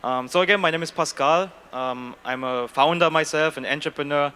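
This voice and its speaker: A man's voice: on the high side, normal speed, sharp tone, clear, resonant tone. A man with a German accent moderating a conference panel, upbeat and chatty with many fillers. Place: conference stage.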